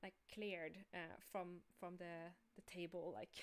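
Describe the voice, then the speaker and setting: Smooth-voiced woman chatting on a livestream. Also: lowish voice, fairly even intonation, slow pace. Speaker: game developer co-hosting a backer livestream. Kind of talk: casual livestream chat.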